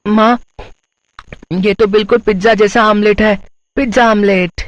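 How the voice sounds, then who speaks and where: Man putting on a whiny high-pitched little boy. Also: fairly even intonation, unhurried, no echo, muffled recording. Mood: delighted. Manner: whiny, pleading, insistent. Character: whining young boy demanding pizza from his mother. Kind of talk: Hindi moral-story cartoon voice acting.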